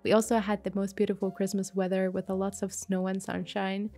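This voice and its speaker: A woman giving a calm, gentle voice-over for her journal painting video, a little sick from a mild flu. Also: mid-range voice, brisk, faint music behind. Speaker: bullet journal creator. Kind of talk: voice-over narration of a craft video.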